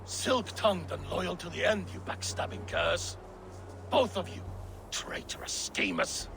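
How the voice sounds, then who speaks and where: Game warlord: proud Norse jarl, heavy rasping fry, slightly high voice, accusing and grand. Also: male voice, big pitch swings, unhurried, noisy background, full character voice. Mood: accusing, angry. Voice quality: gravelly. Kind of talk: dramatic video game cutscene acting.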